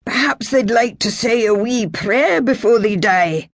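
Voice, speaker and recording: Feverish old Highland laird, as a narrator puts him on. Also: lively intonation, a bit bright, dry recording, studio quality. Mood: grim. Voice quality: high, slow, crying out.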